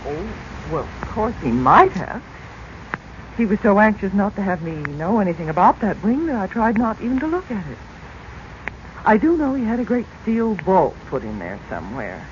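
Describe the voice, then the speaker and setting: A woman's voice: medium pitch, big pitch swings, normal speed, a little hiss or hum, lightly acted. An actress playing an anxious middle-aged mother of two teenagers in 1940s radio drama style, on a dull recording. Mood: subdued, explaining.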